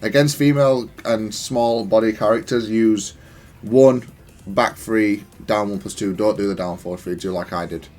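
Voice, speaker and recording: A man's voice: on the low side, fairly even intonation, moderate pace, darkish tone, soft background music. A man giving casual, analytical gameplay commentary in a matter-of-fact, self-critical way. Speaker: gaming content creator.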